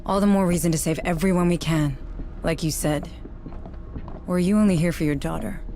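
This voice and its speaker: A woman's voice: fairly low pitch, a little fast, mellow, soft background music, close to an everyday voice. An actress playing a firm, direct woman administrator in command in a video game cutscene. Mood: probing. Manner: direct, authoritative. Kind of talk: cinematic video game acting.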